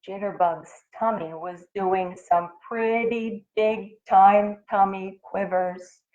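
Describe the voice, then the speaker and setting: A woman reading a picture book aloud to children like a schoolteacher, with animated, deliberate phrasing. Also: fairly low pitch. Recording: tinny phone-like audio.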